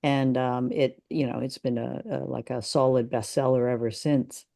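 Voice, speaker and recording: A woman co-hosting a podcast, explaining things in casual discussion and thinking aloud in a halting way. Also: extremely low pitch, steady tone, gravelly, dark tone. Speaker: podcast co-host.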